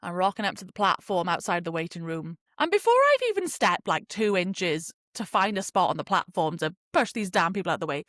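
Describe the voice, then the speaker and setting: A young woman with a Welsh accent, hosting a podcast and telling a story in a casual, animated way, laughing often and swearing freely. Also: fairly low pitch, a little fast, few pauses, dry recording, studio quality. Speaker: podcast host.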